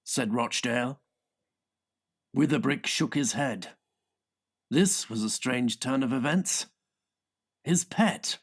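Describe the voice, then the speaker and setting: A man reads comic fiction for an audiobook. His voice is mid-pitched, and he tells the story with theatrical, deliberate phrasing. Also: husky. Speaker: audiobook narrator. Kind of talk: scripted comic fiction narration.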